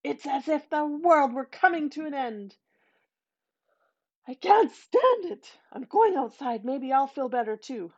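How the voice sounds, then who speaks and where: A woman voicing a guilty mother who talks in a shrill, panicky way. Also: expressive, a little slow, creaky, hardly any pauses, mellow, husky, full character voice. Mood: dread. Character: guilty, terrified stepmother. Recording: dull, muffled recording.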